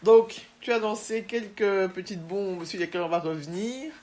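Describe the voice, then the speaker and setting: A woman's voice: mid-range voice, moderate pace, mellow. A woman hosting a podcast, moving between a scripted introduction and casual interview questions.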